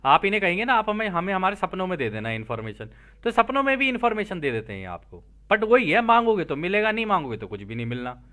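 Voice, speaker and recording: A man's voice: sits high, sing-song, quick pace, sharp tone. A man teaching spiritual material, reading aloud and explaining it informally, checking in with listeners through rhetorical questions.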